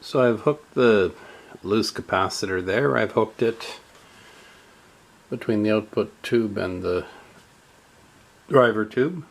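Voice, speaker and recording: Low-voiced guy talking slow through a radio fix, lots of uhs and pauses. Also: creaky. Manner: slow, halting, with many fillers. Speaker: radio repair hobbyist. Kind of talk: step-by-step repair narration.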